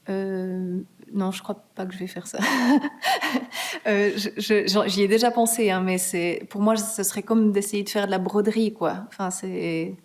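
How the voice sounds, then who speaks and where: A woman's voice: mid-range voice, a little mellow. A woman, a guest author answering students' questions in a hesitant, casual way, full of fillers and restarts. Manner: hesitant, many fillers and restarts, laughs easily. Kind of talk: conversational Q&A answers. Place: university meeting room.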